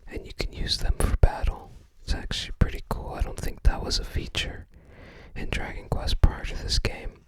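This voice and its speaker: An ASMR host whispering softly and breathily close to the microphone, musing casually and tentatively. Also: normal speed. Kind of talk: ASMR, casual commentary while browsing a game guide book.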